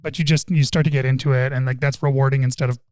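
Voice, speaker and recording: Wry relaxed man from Toronto. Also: mid-range voice, fast talker, dry recording, clean studio sound. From Toronto suburbs, Canada. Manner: relaxed, restarts and "like", wry humor. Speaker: guest. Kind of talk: casual interview talk.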